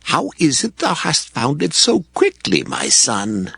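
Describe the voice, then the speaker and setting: An actor playing a frail, solemn old father in a dramatized scripture reading, with halting, drawn-out delivery. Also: fairly high pitch, huge pitch swings, vocal fry, bright, forceful tone, husky, no room sound, clean studio sound, full character voice. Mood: doubtful, searching.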